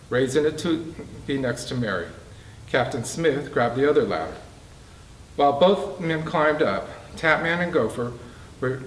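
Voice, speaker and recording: Man reading fiction aloud, distant and roomy. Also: mid-range voice. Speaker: author reading from own book. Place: bookstore reading event, indoors. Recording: roomy, distant mic, faint background noise.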